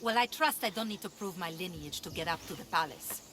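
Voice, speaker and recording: A woman's voice: on the low side, expressive, fairly quick, lightly acted. A woman voicing a proud, spirited young pilgrim in a cinematic video game, self-assured and passionate. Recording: outdoor ambience noise behind voice. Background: ambient outdoor noise.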